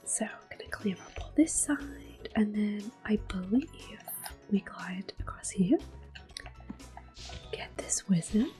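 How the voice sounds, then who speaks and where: A woman softly whispering gentle, unhurried ASMR commentary as they play a video game, explaining each step in a breathy voice. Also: mid-range voice, animated, soft background music. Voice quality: breathy whispering, soft-spoken. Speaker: gaming ASMR video host. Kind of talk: soft-spoken ASMR gameplay commentary.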